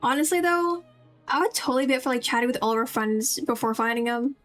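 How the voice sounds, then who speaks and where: Chatty high-pitched woman streaming a game. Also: quick pace, a bit bright. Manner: chatty, rambling to viewers. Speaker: livestreamer playing a video game. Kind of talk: casual game stream commentary. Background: game music.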